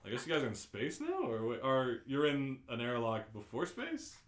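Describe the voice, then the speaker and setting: A man's voice: mid-range voice, huge pitch swings, normal speed, roomy sound. A man hosting a live script read-through, narrating in a playful, theatrical way. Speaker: host and narrator.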